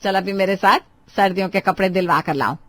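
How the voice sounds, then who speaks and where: A woman's voice: medium pitch, a little fast, a bit bright, dry recording, lightly acted. A woman playing a bossy, scolding middle-aged mother-in-law in a dramatized family story. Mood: mock-annoyed. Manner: scolding, overbearing, theatrical.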